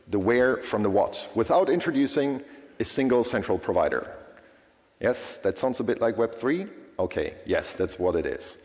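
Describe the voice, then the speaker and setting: A lower-pitched man with German as his first language gives a conference talk, his pitch rising and falling animatedly. He talks in a casual way and keeps checking in with the audience. His voice carries the long reverb of a big hall. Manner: lively, conversational, frequent "right?" checks with audience. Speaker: conference speaker.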